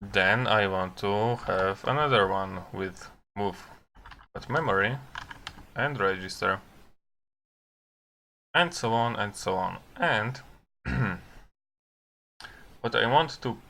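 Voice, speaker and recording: A man who is a non-native English speaker gives unscripted live coding commentary, thinking aloud haltingly with long pauses. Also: fairly low pitch, gravelly, pushed and bright, slight background noise. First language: not English. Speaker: programmer live-streaming his coding.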